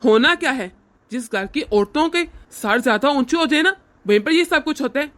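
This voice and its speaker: A woman's voice: high voice, animated, normal speed, a bit bright. A woman voicing a harsh, domineering older man in a cartoon family drama, scornful and threatening. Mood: contemptuous. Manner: scornful, threatening, barking orders.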